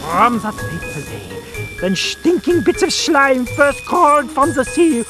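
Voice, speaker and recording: A man's voice: extremely high pitch, huge pitch swings, a little slow, heavily acted. A man voicing a melodramatic, grandiose mad scientist in a cartoon game. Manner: grandiose, melodramatic, wildly swooping. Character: manic, theatrical mad scientist crowing over his creation. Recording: music behind the voice.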